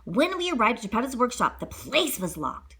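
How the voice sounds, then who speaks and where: A woman narrates a children's storybook with a high, bright voice. Her delivery is very lively and dramatic, made for a read-along. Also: fairly quick, slightly rough. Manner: dramatic, expressive. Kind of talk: animated storybook read-aloud.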